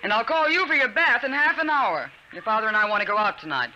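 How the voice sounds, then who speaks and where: A woman's voice: fairly high pitch, lively intonation, fast, bright, forceful tone, dull audio. An actress playing an anxious, exasperated suburban mother in a 1950s radio drama, with earnest, fretful delivery. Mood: stern. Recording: noisy old radio recording.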